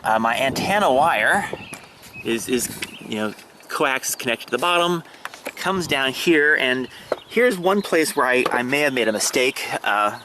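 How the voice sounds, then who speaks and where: A man giving a casual, halting show-and-tell of a hobby setup, pausing and restarting as he explains. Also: medium pitch, animated, noisy background. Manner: hesitant, halting, fragmented delivery. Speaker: amateur radio hobbyist demonstrating his setup. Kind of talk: casual demonstration vlog. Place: outdoors and in a parked vehicle in a residential neighborhood.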